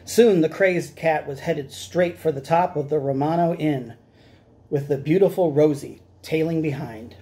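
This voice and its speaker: A man's voice: fairly high pitch, a little slow, mellow. A middle-aged man reading a children's picture book aloud in a dramatic storytelling style. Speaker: storytime reader. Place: small room at home, indoors.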